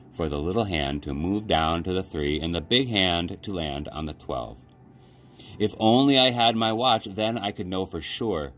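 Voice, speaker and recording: A man's voice: low-pitched, fairly bright tone. A man voicing a vain, lonely china rabbit from a storybook, with formal, wistful, pleading speech. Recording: clear background noise.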